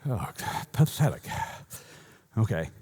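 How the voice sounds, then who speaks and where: A man's voice: on the low side, takes it slowly, slightly rough. A man with a Boston accent giving a technical talk at a conference, joking with the audience and often correcting himself mid-sentence. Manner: lively, joking, rambling with self-corrections. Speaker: conference speaker. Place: conference hall before an audience.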